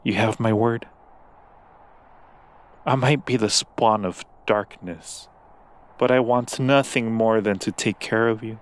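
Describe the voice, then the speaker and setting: Man voicing a slow, low seductive demon. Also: steady tone. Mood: coaxing, possessive. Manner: slow, silky persuasion with formal, deliberate wording. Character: seductive, coaxing male demon courting a mortal. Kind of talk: ASMR audio roleplay.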